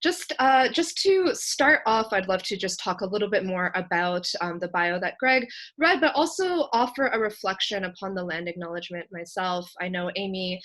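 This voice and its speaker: A young woman from Canada, a workshop facilitator whose first language was Korean, talking in a reflective way with frequent ums and pauses. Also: medium pitch, lively intonation, a bit bright.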